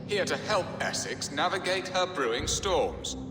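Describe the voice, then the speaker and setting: An actor playing a blustering middle-aged Saxon lord in a video game, with a grandiose, wry delivery. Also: male voice, fairly high pitch, lively intonation, measured pace. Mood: lordly. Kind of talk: dramatic video game dialogue. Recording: echoey hall reverb with music.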